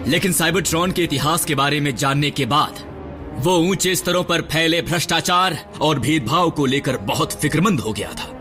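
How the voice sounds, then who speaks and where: A man voicing a grizzled old male robot medic in a dubbed cartoon, recounting history in a measured, lecturing, somber way. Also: fairly high pitch, faint music behind. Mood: solemn. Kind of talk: Hindi cartoon dub. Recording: studio dub with loud score.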